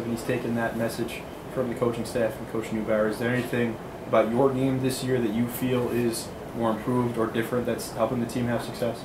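A man asks detailed, polite questions in a flat voice. The room is echoey, with a clear hum of ambient noise. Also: mid-range voice. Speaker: reporter. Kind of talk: press conference questions.

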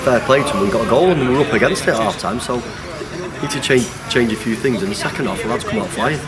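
A man's voice: mid-range voice, lively intonation, fast, a loud crowd. A man in his forties with a Northern English accent, a plain-spoken football manager giving a colloquial post-match interview outdoors. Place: outdoors on an empty football pitch. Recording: loud outdoor background noise, traffic.

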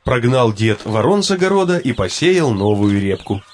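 Lively male storyteller, swinging intonation. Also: mid-range voice, normal speed, slight background noise. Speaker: narrator. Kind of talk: storybook narration for a children's cartoon.

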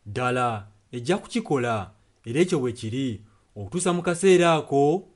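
A man's voice: mid-range voice, expressive, fairly quick. A man interpreting a sermon, following the preacher phrase by phrase. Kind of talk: spoken and sung translation of a sermon.

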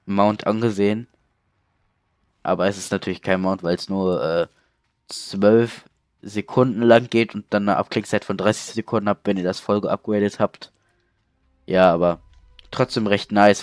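A man's voice: on the low side, dry recording, studio quality. A man with a cold giving casual, chatty let's play commentary through a headset, reading out game text along the way. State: stuffy cold.

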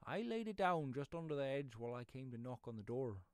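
A man's voice: mid-range voice, big pitch swings, a little fast, clear, resonant tone, no echo, voice pushed up, close to an everyday voice. A man voicing a shabby, furtive little pedlar in a storybook reading, humble and hesitant, with rustic pleading speech. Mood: wheedling, hesitant. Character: shabby, furtive, undersized pedlar, submissive and wheedling. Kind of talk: audiobook character voice.

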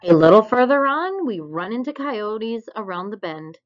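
A woman reading a children's picture book aloud in an expressive storytelling style. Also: mid-range voice, moderate pace. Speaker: storybook reader. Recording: dull, lo-fi recording.

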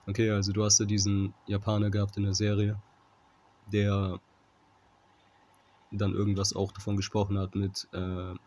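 A man giving an informal Bible commentary in a halting manner, often checking in with a short tag question, on a noisy amateur recording. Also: fairly low pitch. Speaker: Bible teacher.

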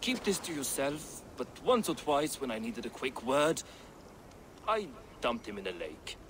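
A man's voice: medium pitch, sing-song, measured pace, fairly bright tone, a little hiss or hum. A man playing a gossipy, good-humored Norse warrior in a video game, with a wry, forthcoming manner. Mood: wry, confiding. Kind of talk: video game dialogue acting.

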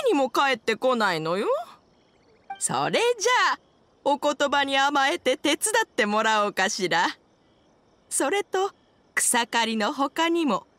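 A woman playing a kindly elderly village wife in an anime game, gentle and grandmotherly. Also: high voice, no echo, exaggerated voice. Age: older. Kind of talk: Japanese anime game voice acting.

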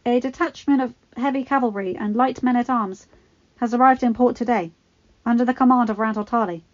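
A woman voicing a scheming, smooth-tongued male courtier who speaks in oily, ingratiating words, in a slightly dull lo-fi recording. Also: a little high, fairly quick, voice pushed up, lightly acted.

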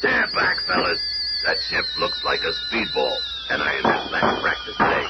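An actor playing a gruff, wisecracking, brawling superhero strongman in a 1970s radio drama, blustery and slangy. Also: rumbling low, wildly swooping pitch, moderate pace, very noisy, heavily acted. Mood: boisterous. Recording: old radio recording with music.

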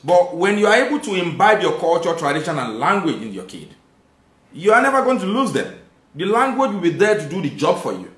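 A man in his thirties from Nigeria with a Nigerian accent, hosting a live stream, reading out viewer comments, thanking viewers and speaking with lively conviction when making a point. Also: high voice, a little fast. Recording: echoey room.

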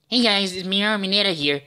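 A man voicing a small, lecherous teenage anime boy with rapid, cheeky speech. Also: sits high, pushed and bright, smooth, exaggerated voice.

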